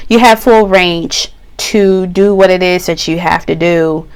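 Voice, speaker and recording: Woman tarot reader, slightly hoarse, slow relaxed delivery, smooth low voice. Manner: slow, relaxed, chatty with slang. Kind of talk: pick-a-card tarot reading.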